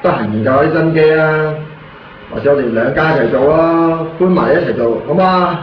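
A man's voice: fairly high pitch, normal speed, a crowd in the background, close to an everyday voice. A man playing a casual, easygoing copier worker in a Hong Kong Cantonese radio drama. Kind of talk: 1990s radio drama acting. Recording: roomy muffled radio recording, faint noise.

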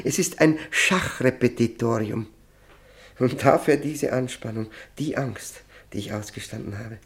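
An actor playing a desperate, obsessive male prisoner who tells of solitary confinement in a German radio play, intense and halting, often repeating words. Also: fairly high pitch. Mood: bitter disappointment. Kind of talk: German radio play, dramatic first-person narration.

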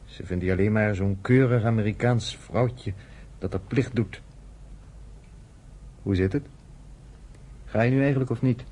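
An actor in a Dutch radio play voicing a wry, fatherly, teasing man with a husky voice. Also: lowish voice, moderate pace, pauses often, dark tone, close to an everyday voice. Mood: teasing, sardonic. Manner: sardonic, fatherly. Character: wry, teasing American man, friend of a nurse.

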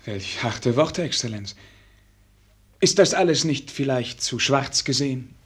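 An actor playing a naive, courteous and dismayed prince in a German radio play, with a husky voice and polite, halting aristocratic speech. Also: male voice, mid-range voice, expressive, fairly bright tone.

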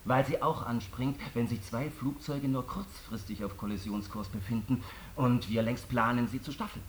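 Actor as nagging, cocky air traffic controller. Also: male voice, mid-range voice, normal speed, close to an everyday voice. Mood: urgent nagging. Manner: insistent, prompting, smug. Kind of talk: radio drama acting.

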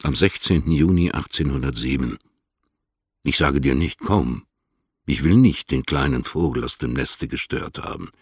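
An actor playing an older male poet who reads out tender, formal letters in a measured, gravelly way for a radio drama. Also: rumbling low, no echo, clean studio sound. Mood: tender, restrained.

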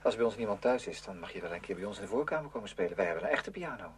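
A man's voice: fairly low pitch, lively intonation, very fast, lightly acted. A man playing a friendly, easygoing teenage schoolmate with a casual manner in a radio play. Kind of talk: Dutch radio play acting. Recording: humming, noisy radio drama recording.

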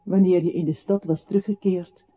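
A man's voice: high voice, fairly even intonation, fairly quick, husky. An actor playing an accusing voice that solemnly tells a captive his own life in a poetic radio play. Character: accusing male voice narrating a captive's life to him. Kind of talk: radio play, poetic second-person narration. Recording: tinny, narrow old radio recording with hiss.

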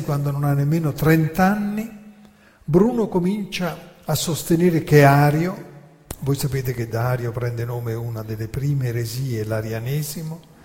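A man giving a theatrical lecture, narrating deliberately and emphatically with dramatic pauses. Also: fairly high pitch, warm tone. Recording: long hall reverb.

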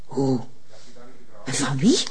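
An actress playing a persistent, curious police colleague, questioning insistently in a husky Flemish voice. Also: female voice, extremely low pitch, sing-song, slow, dark tone, heavily acted. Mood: puzzled. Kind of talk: Flemish television crime drama acting.

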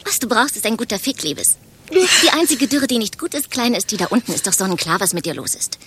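Animated, brash secretary. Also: female voice, a little high, quick pace, breathy edge, exaggerated voice. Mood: teasing, bawdy. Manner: gossipy, rambling, exclamatory. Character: brash, chatty, bawdy female office secretary. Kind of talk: German radio play acting.

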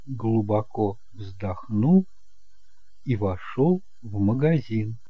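A man's voice: medium pitch, dry recording, highs cut off. A man narrating an audiobook drama in a scripted storytelling style.

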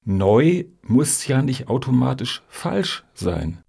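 An actor playing a dry, sceptical male rival applicant in a satirical radio play, laconic and gravelly. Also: mid-range voice, big pitch swings, takes it slowly, darkish tone, full character voice.

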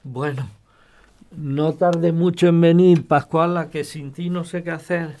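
A man playing a stubborn, soft-hearted older pensioner in a radio soap, grumbling and protesting, with an accent from Spain. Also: fairly high pitch, steady tone, unhurried, over music. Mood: resigned, fond. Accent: Spanish from Spain.

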